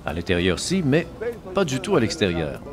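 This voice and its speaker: A man with a fairly low voice narrates a travel show as a voice-over. His intonation moves up and down a lot, and music plays clearly behind him. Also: huge pitch swings, moderate pace. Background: background music.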